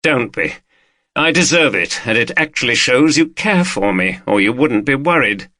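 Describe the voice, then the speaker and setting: A man's voice: medium pitch, lively intonation, normal speed, pushed and bright, dry recording. List: a man doing a weary partner, reassuring, firm, dry sound. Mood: soothing. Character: weary, soothing man with a past temper.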